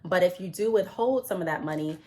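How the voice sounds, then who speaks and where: A woman in her thirties with a somewhat low voice, audibly congested and under the weather. She talks to camera in a loose, chatty way, full of ums, and reads from her notes now and then. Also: a little fast, warm tone. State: congested, sick with a cold. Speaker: business vlogger. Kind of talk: casual advice talk to camera.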